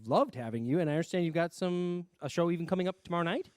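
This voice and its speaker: A man's voice: fairly high pitch, animated, quick pace, no room sound. A man hosting a radio variety show, chatting casually and effusively as he interviews a guest on stage.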